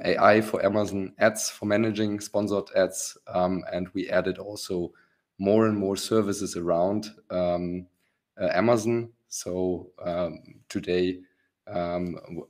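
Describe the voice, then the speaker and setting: A hoarse man hosting a business webinar, talking with many pauses, ums and restarts. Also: deep voice, a little mellow. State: rough, hoarse voice. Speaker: webinar host, company founder.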